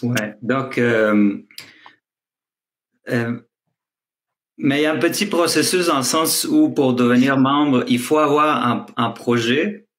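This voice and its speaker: A filmmaker speaking on a video call, talking in a hesitant way with many restarts and fillers. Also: male voice, medium pitch, slow, long pauses, a bit bright. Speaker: filmmaker guest. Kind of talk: casual panel talk.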